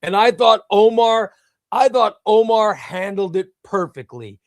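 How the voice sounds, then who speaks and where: A man's voice: high-pitched, measured pace, vocal fry, no room sound, clean studio sound. A man hosting a casual livestream, reading viewer comments aloud and gushing with enthusiasm, often repeating himself. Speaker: livestream host.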